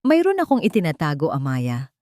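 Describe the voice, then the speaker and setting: Low-voiced, bitter elderly housemaid, voiced by a woman. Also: wildly swooping pitch, a little fast, dry recording, studio quality. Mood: secretive urgent. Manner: hushed, confiding. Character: bitter, secretive elderly former housemaid in her sixties. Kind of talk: audio storybook character dialogue.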